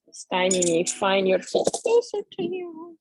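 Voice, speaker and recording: A woman guiding a yoga class with slow, soothing, drawn-out cues. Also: medium pitch, big pitch swings, a little mellow, breathy edge, dry recording. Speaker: yoga instructor. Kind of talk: guided yoga class instruction.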